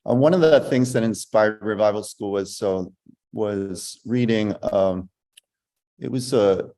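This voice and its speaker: A man's voice: lowish voice, a little slow, warm tone. A man speaking on a panel as a school director, thoughtful and restarting often. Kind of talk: panel discussion talk.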